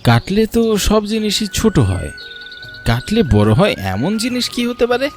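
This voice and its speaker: Man putting on an eager, high-lifted young villager. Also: wildly swooping pitch, a little slow, darkish tone, heavily acted. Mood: puzzled. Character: young village fellow puzzling eagerly over riddles. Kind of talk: Bengali cartoon story dialogue. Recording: music behind the voice.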